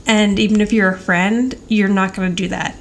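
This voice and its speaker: A woman's voice: mid-range voice, fairly even intonation, normal speed. A woman co-hosting a podcast, casually and chattily recapping television. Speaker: podcast co-host.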